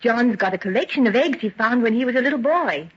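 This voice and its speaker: A genteel young woman speaks fairly high with a soft husk and sweeping intonation. She is tender and encouraging, a golden age radio heroine on a dull, muffled recording. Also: expressive, fast talker, breathy edge. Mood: wistful, fond. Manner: gentle, soothing, coaxing.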